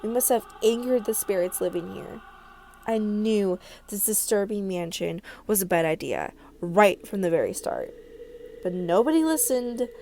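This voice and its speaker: Woman acting an anxious, mellow-voiced young horror heroine. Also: mid-range voice, big pitch swings, moderate pace, gravelly, slight background noise. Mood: indignant. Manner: dramatic, anxious, emphatic. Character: frightened, superstitious woman real estate agent narrating her horror.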